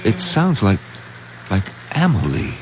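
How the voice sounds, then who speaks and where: An actor playing a concerned, skeptical middle-aged husband and son-in-law, dramatic and hesitant, in an old-time radio drama. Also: male voice, on the low side, wildly swooping pitch, unhurried, over loud music. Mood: alarmed. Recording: dull, very noisy old radio broadcast.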